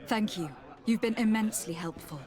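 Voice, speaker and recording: A woman's voice: mid-range voice, brisk, a little mellow, some chatter behind, natural voice. A young woman playing a courteous, composed noble envoy in a video game, speaking formally and asking probing questions. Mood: courteous, inquiring. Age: young adult. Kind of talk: dramatic game dialogue.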